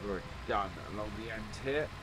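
A man's voice: lowish voice, fast talker, heavy background noise. A man with a slightly nasal, stuffy voice from hay fever, talking casually through a farming simulator role-play as he works. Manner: easygoing, thinking aloud as he works. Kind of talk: casual commentary while playing.

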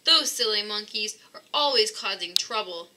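Female picture-book reader, expressive and child-directed, crisp forceful brightness, animated intonation. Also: medium pitch, moderate pace. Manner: expressive, addressing child listeners. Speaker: storybook reader. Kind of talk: children's read-aloud narration.

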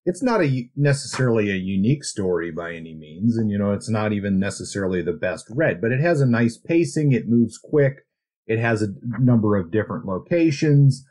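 A man co-hosting a podcast, talking through a casual book discussion in a conversational, measured way. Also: fairly low pitch, animated, darkish tone. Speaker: podcast co-host.

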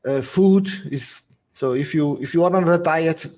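A Portuguese man with a Swiss German accent, a guest on a podcast, talking casually and restarting his phrases often. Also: on the high side. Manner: halting, restarts phrases, relaxed.

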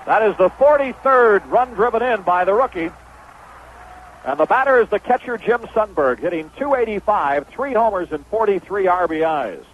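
A man's voice: high voice, animated, normal speed. An American man calling a baseball game as a play-by-play announcer in 1970s radio style. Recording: dull, noisy old AM radio broadcast.

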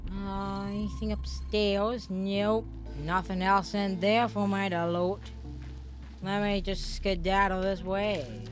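A woman giving let's play commentary in a rambling, filler-heavy way. Her voice often cracks, and she jumps into loud startled bursts. Game music plays clearly behind her. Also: mid-range voice, level tone, slow. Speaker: gaming streamer.

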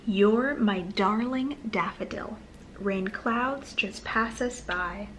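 Slow-talking woman reading to toddlers. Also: medium pitch, slight background noise, poor audio. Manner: slow, sing-song reading with gentle asides. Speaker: children's storytime host and reader. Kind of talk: read-aloud storytime for toddlers, addressing young children. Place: small living room, on a sofa, indoors.

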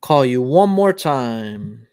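A man's voice: mid-range voice, big pitch swings, slow, a little mellow, smooth. A man in his thirties from Puerto Rico, hosting a live show and explaining casually while thinking aloud with frequent ums. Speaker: host. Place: home office, indoors.

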